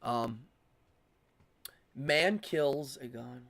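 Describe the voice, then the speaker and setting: A man hosting a live stream, talking casually and opinionatedly as he answers chat, rambling and repeating words in quick bursts. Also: medium pitch, a bit bright. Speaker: live-stream show host.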